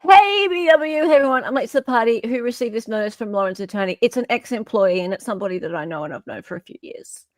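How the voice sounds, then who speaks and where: A woman's voice: a little high, animated, quick pace, hardly any pauses, no echo, studio quality. A woman with an Australian accent hosting a casual live chat, emphatic and given to repeating phrases for effect. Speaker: livestream host.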